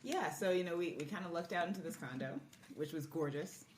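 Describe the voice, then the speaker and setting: A woman's voice: lowish voice, a little fast, a little mellow. A woman speaking as a podcast guest, telling her story in a casual, conversational way. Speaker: podcast guest, marriage and family therapist. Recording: echoey room, faint background noise.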